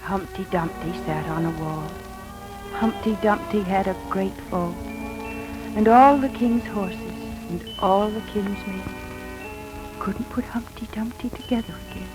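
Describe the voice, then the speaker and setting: A woman's voice: medium pitch, unhurried, music in the background, lightly acted, low-quality recording. Earnest, devoted mother played by a woman, radio drama. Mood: tender storytelling. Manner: earnest, emotional, heartfelt. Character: devoted, pleading young adoptive mother. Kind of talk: 1940s radio drama acting. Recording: old 1940s radio recording.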